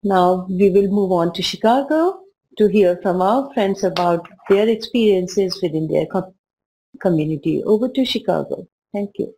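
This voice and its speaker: A woman moderates a school video conference with a mellow, rounded tone. She speaks encouragingly to the students as she passes the floor from one group to the next. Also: medium pitch, normal speed, low-quality recording.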